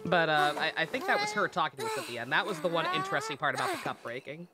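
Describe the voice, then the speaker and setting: A woman's voice: deep voice, big pitch swings, racing. A woman game streamer giving casual, sweary live commentary in a sarcastic, ranting manner. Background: game music.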